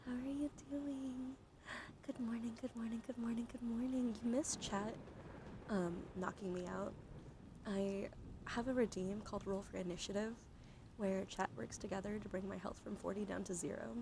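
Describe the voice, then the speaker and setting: A woman live streamer chatting casually in an ASMR style, soft-spoken and often slipping into breathy whispers, close to the microphone. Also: medium pitch, steady tone, normal speed, noisy background. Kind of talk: casual ASMR livestream chat.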